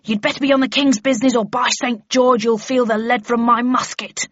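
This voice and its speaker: A woman's voice: fairly high pitch, big pitch swings, normal speed, husky, muffled recording, pitched above the performer's own voice, exaggerated voice. A woman voicing a male night guard sergeant, blustering yet deferential, as a character in an audiobook. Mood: challenging. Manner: blustering, then apologetic.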